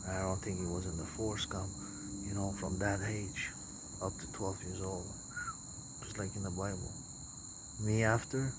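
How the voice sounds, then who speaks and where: A man with a Romanian accent speaks in an extremely low voice. His monologue is improvised and disjointed, circling back on phrases with halting pauses. There is clear background noise with chirping insects behind him. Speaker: self-styled religious messenger recording a video monologue. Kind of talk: rambling off-the-cuff monologue.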